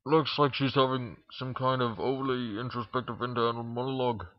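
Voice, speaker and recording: A man's voice: medium pitch, fairly even intonation, fairly quick, dry recording, lo-fi sound. A man reading a story aloud in an informal amateur narration, stumbling now and then. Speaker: fan fiction reader.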